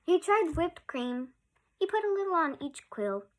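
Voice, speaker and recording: A woman storyteller reading a picture book aloud to young children, expressively and with drawn-out emphasis. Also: very high voice, very animated, slight background noise.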